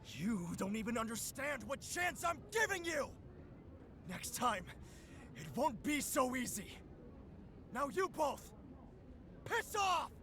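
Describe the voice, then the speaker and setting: Menacing, short-fused man for a game; commanding, highly animated delivery with ambient noise behind. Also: slow pace, pauses often, full character voice. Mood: furious yelling. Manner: threatening, commanding, prone to yelling. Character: menacing, hot-tempered man barking threats. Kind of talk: dramatic video game voice acting.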